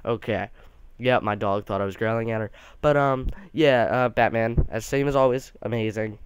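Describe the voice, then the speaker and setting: A man's voice: fairly low pitch, moderate pace, clear, resonant tone. A young man giving a casual, rambling movie review, sounding a bit nasal from allergies, with many restarts.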